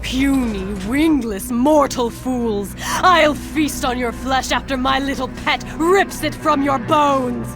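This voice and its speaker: A woman voicing a haughty winged demoness in a fantasy game, bloodthirsty and menacing, with theatrical drawn-out delivery. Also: sits high, music in the background. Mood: gloating menace. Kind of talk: fantasy game villain acting.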